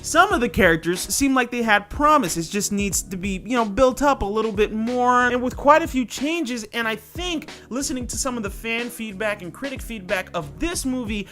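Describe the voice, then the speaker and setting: A man's voice: extremely high pitch, animated, normal speed, hardly any pauses, a bit bright, soft background music. A man hosting a casual, opinionated review, talking straight to viewers in a conversational, emphatic way. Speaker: film reviewer and host.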